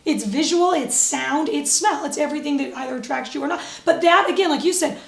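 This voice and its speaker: High, gravelly woman in an echoey room. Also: fairly quick. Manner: animated storyteller, laughs often. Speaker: co-host. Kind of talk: casual chat.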